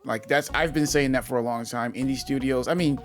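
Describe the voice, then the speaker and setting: A man's voice: medium pitch, steady tone, fairly quick, soft background music. A man hosting a gaming channel, giving a casual, rambling update and then interviewing game developers. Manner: rambling, upbeat, many restarts.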